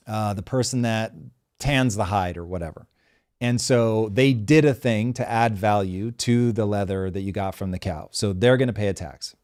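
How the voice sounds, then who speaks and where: Low-voiced man hosting a live show. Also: no room sound, clean studio sound. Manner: animated, explains at length with examples. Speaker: show host.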